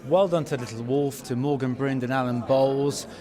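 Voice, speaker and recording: A man's voice: medium pitch, fairly even intonation, moderate pace. A man hosting casual, congratulatory interviews at a busy theatre event. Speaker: podcast host and interviewer. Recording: busy crowd chatter behind.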